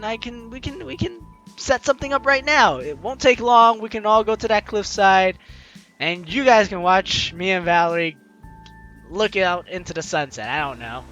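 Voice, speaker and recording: Animated, high-pitched, bright-voiced man hosting a let's-play. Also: unhurried, soft background music. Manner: playful, teasing, exclamatory, talks to the audience. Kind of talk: reading game text aloud with excited commentary.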